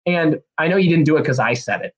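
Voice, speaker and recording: Young male podcast host, slightly hoarse and stuffy, higher voice, rambling and upbeat. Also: fast, muffled recording. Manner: rambling, energetic, many fillers and restarts. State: slightly hoarse and nasal from a cold. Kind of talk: casual solo podcast talk.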